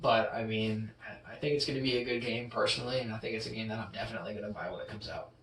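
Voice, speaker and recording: A young man talks loosely about a video game, his voice bright and a little forceful. He rambles with fillers and lets his sentences fade out. The mic sits a way off in an echoey room with a faint hiss behind him. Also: on the low side, fairly even intonation, very fast, vocal fry. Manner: rambling, filler-heavy, trailing off quietly. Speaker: podcast co-host. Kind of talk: casual chat. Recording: roomy echo, distant mic, faint hiss.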